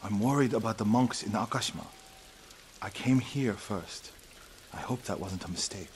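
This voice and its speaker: An actor playing an earnest, devout warrior monk of feudal Japan in a video game, speaking solemnly and with feeling. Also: male voice, lowish voice, normal speed, slight background noise, natural voice. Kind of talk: dramatic video game acting.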